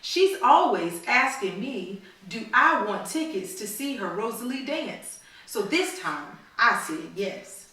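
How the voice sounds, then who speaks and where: A woman's voice: on the high side, big pitch swings, slow, hardly any pauses, bright, forceful tone, heavily acted. A woman voicing a loving, firm grandmother from Trinidad in a storybook, with a homely, reassuring way of talking. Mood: fond, proud. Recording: very echoey room, far from mic.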